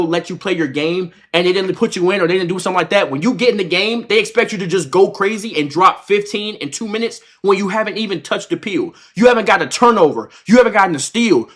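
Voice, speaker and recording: A man in his twenties livestreaming, telling a story casually in slangy, swear-heavy speech, repeating himself and acting out what was said. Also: high-pitched. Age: 20s. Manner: animated, repetitive retelling with acted-out quotes. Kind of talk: casual reaction-stream storytelling to chat.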